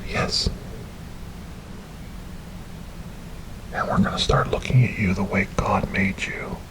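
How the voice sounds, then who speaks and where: A man playing a gentle, devout rescuer in an ASMR storytelling roleplay, speaking softly and breathily in a soothing, reassuring way. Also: low voice, steady tone, normal speed, rough recording. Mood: quiet, tender. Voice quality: soft, breathy, whispery. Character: gentle, devout male rescuer coaxing a lost ghost girl.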